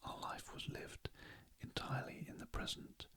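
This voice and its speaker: A narrator voicing a grieving, dignified and reticent widow from a storybook in a breathy, formal whisper. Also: moderate pace, pushed and bright, lightly acted. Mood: composed, wistful.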